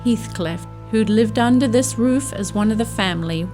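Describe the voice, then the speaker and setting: A woman's voice: on the high side, normal speed, dark tone, soft background music, natural voice. A woman playing a reflective storyteller, narrating a scripted audio drama about a family's past.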